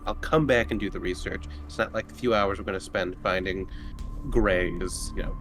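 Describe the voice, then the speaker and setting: Low male player in noisy room. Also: steady tone, normal speed. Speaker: tabletop game player. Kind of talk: casual in-character roleplay and banter. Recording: noisy room with background ambience.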